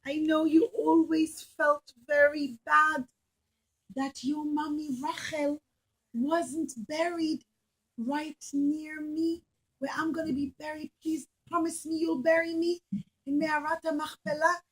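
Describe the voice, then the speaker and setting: A woman playing a very old, gentle biblical patriarch in a children's puppet show, speaking slowly and deliberately. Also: sits high, flat delivery, rough recording. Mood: solemn, pleading. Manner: slow, deliberate, simple storybook speech.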